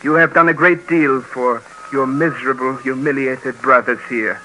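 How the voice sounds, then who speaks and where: Forceful, bright-voiced actor as a solemn young survivor. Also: male voice, mid-range voice, steady tone, slight background noise, close to an everyday voice, rough recording. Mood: earnest, grateful. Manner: solemn, measured, formal pleading. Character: weary 24-year-old married Eastern European survivor, earnest letter writer. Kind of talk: 1950s radio documentary acting. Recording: 1950s radio recording.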